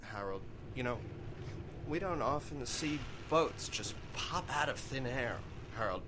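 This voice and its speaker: A man plays a flustered oil rig officer in an audio drama, baffled and careful of his position. He speaks haltingly in a mid-pitched voice, stammering over words, with clear noise behind him. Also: lively intonation, natural voice. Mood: puzzled, uneasy. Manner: halting, stammering, repeats himself.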